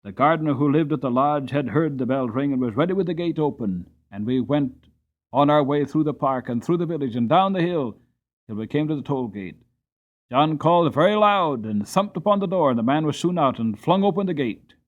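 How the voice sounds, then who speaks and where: Animated male storybook reader. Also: a little high, a little fast, phone-quality sound. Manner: expressive, animated reading. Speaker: audiobook reader. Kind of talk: dramatic storybook narration.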